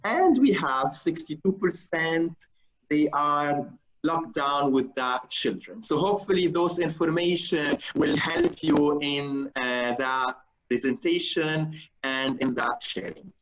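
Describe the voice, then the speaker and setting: A man's voice: on the high side, a bit bright, rough recording. Man moderating a webinar poll. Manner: procedural, pauses with fillers while reading the results. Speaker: webinar host. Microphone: video call.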